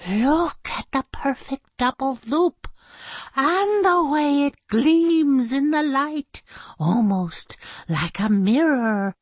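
A woman's voice: mid-range voice, animated, a little mellow, breathy edge, dry recording, pitched above the performer's own voice, exaggerated voice. A woman voicing an exasperated, scolding woman schoolteacher in a children's story, with dramatic, emphatic delivery. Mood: reverent. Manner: dramatic, emphatic, drawn-out stressed words.

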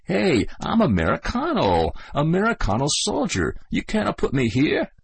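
Guy doing a cocky slangy young soldier, pitched way up, husky edge. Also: huge pitch swings, unhurried, no room sound, full character voice. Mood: protesting. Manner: brash, slangy, carefree. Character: sly streetwise young male American soldier. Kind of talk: audiobook reading.

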